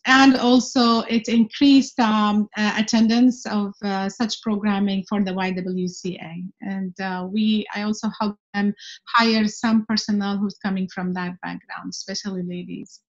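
A woman's voice: mid-range voice, fairly even intonation, unhurried. A middle-aged woman from Syria, a guest speaking earnestly on a video call, with many fillers and restarts. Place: room at home. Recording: dull lo-fi video call audio.

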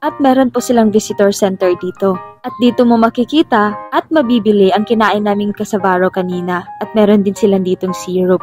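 A woman's voice: mid-range voice, a little mellow. A young adult Filipino woman narrating a scripted travel vlog in a playful manner, with a Filipino accent. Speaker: vlogger, narrator. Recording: voice-over with music behind. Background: music.

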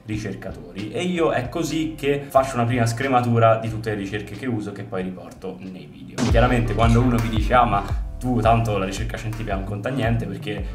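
A man's voice: lowish voice, a little fast, hardly any pauses, far from the mic. Man, 20s, fitness coach, casual vlog, filler-heavy, faint music underneath. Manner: chatty, many fillers like "diciamo" and "giusto?". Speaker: fitness coach and video host. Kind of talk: casual explanatory vlog to camera. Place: bedroom-like room indoors. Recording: roomy sound from away from the mic, faint music behind.

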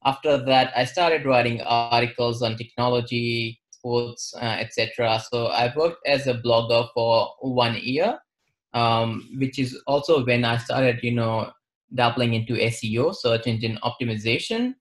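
A young man from western India with an Indian accent, explaining things in a measured, matter-of-fact way as an interview guest. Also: medium pitch. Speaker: interview guest, marketing advisor. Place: plain-walled room, indoors.

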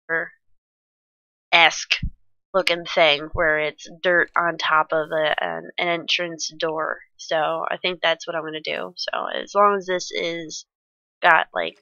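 Woman, congested and stuffy, rambly let's-play commentary, bright forward tone, dry dull home mic. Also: fairly low pitch, no room sound. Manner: rambling, many ums and restarts, chatty. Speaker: Minecraft let's play creator. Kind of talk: casual gameplay commentary.

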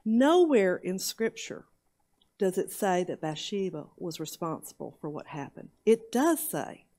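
A woman's voice: lowish voice, very animated, a little slow, warm tone. An older woman co-hosting a church Bible study show, making earnest, emphatic points about scripture. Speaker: co-host. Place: church studio set, indoors.

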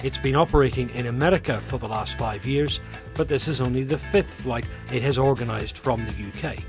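A British man giving calm, measured scripted documentary narration. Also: medium pitch, music in the background.

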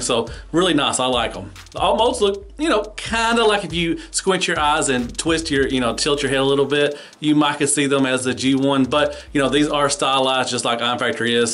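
A man with a mid-pitched voice reviews toys casually. He talks in an informal, folksy way and tends to repeat his phrases. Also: fairly bright tone. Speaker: toy reviewer. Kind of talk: casual hands-on product review.

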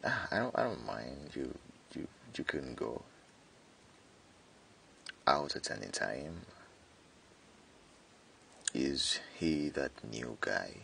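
Man acting a very deep, gravelly jealous friend. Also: sing-song, a bit bright, a little hiss or hum, full character voice. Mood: defensive, jealous. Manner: hesitant, halting, with stammered repeats. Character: jealous tsundere young male friend confessing hidden love. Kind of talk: intimate boyfriend ASMR roleplay.

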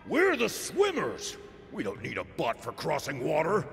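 Gravelly, high-pitched blustering bandit boss. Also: male voice, wildly swooping pitch, unhurried, a bit bright, heavily acted. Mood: cocky. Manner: theatrical, blustering. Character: brawny boastful male bandit leader in swim gear, blustering. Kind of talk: animated video game cutscene acting. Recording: echoey hall reverb over music.

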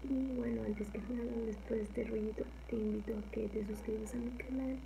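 A young Mexican woman with a Mexican accent chatting casually for her ASMR channel, rambling softly and often slipping into a whisper in a small home kitchen. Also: on the high side, steady tone, a little fast, gravelly, background noise. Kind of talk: casual soft-spoken chat.

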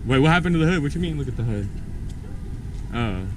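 A man's voice: mid-range voice, expressive, fast. A young man in casual, slangy vlog chat, recorded outdoors on a residential street with a handheld camera. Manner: slangy, informal. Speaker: car club vlogger. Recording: noisy outdoor street, vehicle sounds.

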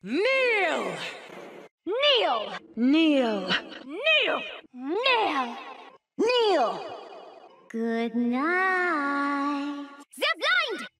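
A woman's voice: high-pitched, very animated, very slow, long pauses, heavy background noise, exaggerated voice. A woman voicing an imperious fantasy warrior in a video game, giving theatrical commands. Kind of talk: video game battle cries.

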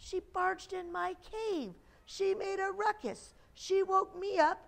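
A woman's voice: very high voice, slow, gravelly, pitched above the performer's own voice, full character voice. A woman voicing a grumpy, offended bear in a children's storybook, grumbling and blustering in an aggrieved way.

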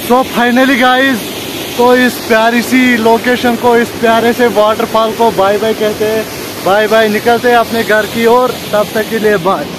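High-voiced young man vlogging outdoors. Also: flat delivery, a little slow. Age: 20s. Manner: chatty, repeats phrases for emphasis. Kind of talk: casual outdoor vlog addressed to viewers. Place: outdoors on village roads, paths and by water. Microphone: handheld phone at arm's length. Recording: noisy outdoor phone recording.

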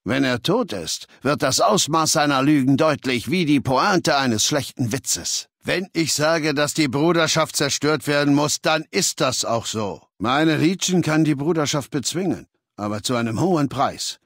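An actor playing a cold, imperious warlord dictator in a video game, speaking in a commanding, haughty, lecturing manner. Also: male voice, fairly high pitch, animated, measured pace, few pauses, fairly bright tone, no echo, clean studio sound, full character voice. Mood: commanding, disdainful. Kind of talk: video game character dialogue.

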